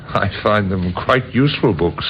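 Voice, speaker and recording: Radio crime drama: wry, hesitant police inspector, lively pitch, hissy old recording. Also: male voice, a little slow, highs cut off. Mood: dry, polite. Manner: hesitant, polite, dryly ironic. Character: wry, courteous, thoughtful male police inspector.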